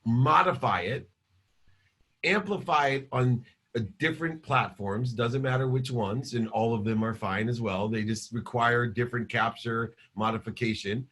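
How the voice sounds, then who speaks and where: A man in his fifties, a guest entrepreneur giving an energetic motivational interview answer full of repeated phrases. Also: medium pitch, unhurried. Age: 50s. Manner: energetic, repetitive storytelling.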